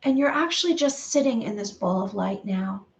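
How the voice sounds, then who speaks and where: A woman's voice: medium pitch, expressive, recorded from a distance. Woman meditation guide, soothing repetitive delivery, slightly off-mic. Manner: slow, repetitive, soothing guidance with pauses.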